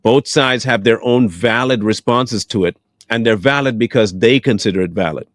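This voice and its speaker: An American man giving off-the-cuff commentary, conversational and deliberate, stressing key words as he reasons things out. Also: mid-range voice, no room sound, clean studio sound. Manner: deliberate, emphatic, asks and answers own questions. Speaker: online commentator. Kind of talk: off-the-cuff news and political commentary.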